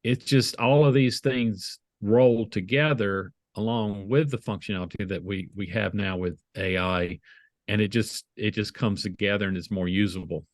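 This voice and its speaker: A middle-aged man with a Southern American accent, answering interview questions over a video call in a measured way, often restarting his phrases. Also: fairly low pitch, steady tone, no room sound. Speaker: guest, IT leader.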